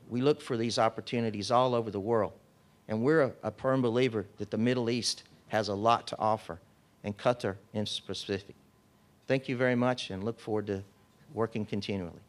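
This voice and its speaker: A man giving a conference speech as an energy company executive, in a measured, formal way. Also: medium pitch. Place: hotel conference room.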